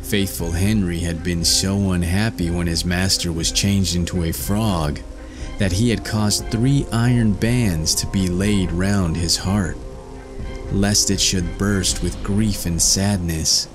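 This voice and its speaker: Male narrator, fairy-tale reading, low pitch, unhurried, formal. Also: fairly even intonation, over music. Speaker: storyteller, narrator.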